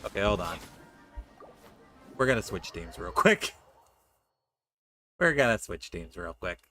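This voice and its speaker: A man's voice: low-pitched, sing-song, a little slow, pushed and bright, soft background music. A man streaming a video game, casually commenting and reading dialogue aloud.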